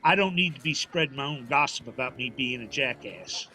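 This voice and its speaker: A man's voice: mid-range voice, expressive, a little fast, sharp tone. An actor playing a stubborn, proud, plainspoken old father from a small town, folksy and prickly, in an audio drama. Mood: wry, prickly. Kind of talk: naturalistic audio drama acting at a live reading. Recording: faint noise of live stage performance.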